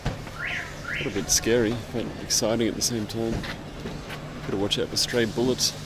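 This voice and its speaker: An Australian man narrating his own travel vlog in a casual, low-key way while walking through a workshop. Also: moderate pace. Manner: relaxed, low-key commentary. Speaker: travel vlogger. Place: workshops and rooms in a weapons factory. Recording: loud background hum.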